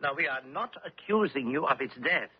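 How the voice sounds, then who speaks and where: An actor playing an officious, accusing German army lieutenant in an old-time radio drama, speaking insistently. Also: male voice, fairly high pitch, expressive, fairly quick, husky. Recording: dull old radio recording.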